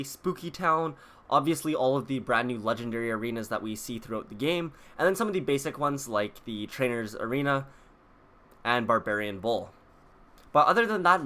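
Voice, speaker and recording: A man's voice: mid-range voice, sharp tone. A man hosting a video, explaining details to viewers in a casual, chatty way. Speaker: video host. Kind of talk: casual scripted video commentary.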